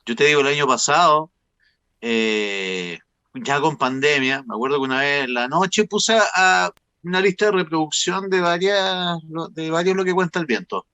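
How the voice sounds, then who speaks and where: A man's voice: fairly high pitch, normal speed, sharp tone, clean tone, no room sound, highs cut off. A man with a Chilean accent hosting a casual, conversational interview. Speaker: host interviewer.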